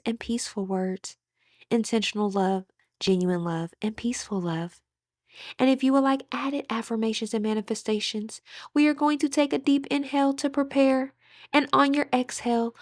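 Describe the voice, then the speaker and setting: Female meditation guide, fairly high voice, gentle breathy delivery with measured pauses, dry recording. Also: no room sound. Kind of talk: guided meditation, scripted narration.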